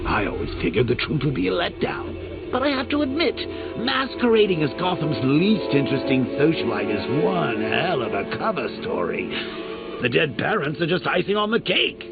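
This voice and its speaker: Manic, swooping supervillain clown. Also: male voice, a little high, big pitch swings, moderate pace, gravelly. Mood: sardonic. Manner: mocking, sing-song, swooping between croon and cackle. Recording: muffled compressed game capture under loud music. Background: loud game music.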